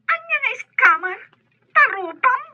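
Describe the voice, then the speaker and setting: A woman voicing an irritable, scornful caller with a shrill, squeaky voice in an audio drama. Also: sing-song, slow pace, pushed and bright, highs cut off, heavily acted. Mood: irritated, scornful. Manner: scornful, scolding. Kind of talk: Ilocano audio drama acting.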